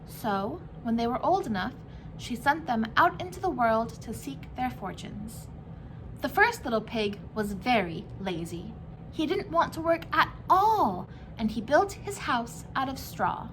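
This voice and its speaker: A woman's voice: fairly high pitch, lively intonation, measured pace, background noise. A woman reading a children's story aloud as a storyteller, phrasing it expressively for young listeners.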